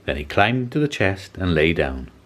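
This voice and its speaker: A man reading a children's story aloud as an expressive narrator. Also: low-pitched, normal speed. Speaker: storybook reader.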